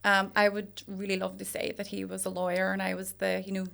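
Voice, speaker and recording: A woman with a Derry accent from Northern Ireland talks as a podcast co-host. Her pitch sits mid-range, and her manner is joking and teasing, with casual storytelling and banter. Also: monotone, a little fast, creaky, a bit bright. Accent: Derry, Northern Irish.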